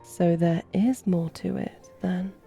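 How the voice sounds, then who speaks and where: Actress as elegant female vampire seductress for ASMR roleplay; dark mellow tone, unhurried, teasing. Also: on the low side, steady tone, faint music behind. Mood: flirtatious, teasing. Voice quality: soft, breathy. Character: flirtatious, courtly female vampire guiding a guest.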